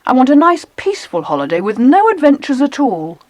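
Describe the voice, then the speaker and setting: High-voiced, brisk mother. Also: very animated, a little mellow, dry recording. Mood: firm. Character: firm, cheerful mother taking children on holiday. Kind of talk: dramatised children's audiobook acting.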